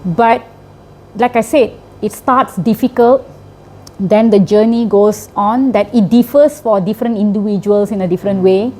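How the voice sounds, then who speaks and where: A woman's voice: medium pitch, normal speed, dark tone. A middle-aged woman teacher with a Malaysian English accent, explaining her work in an interview and often ending points with a tag question. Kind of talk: interview answers.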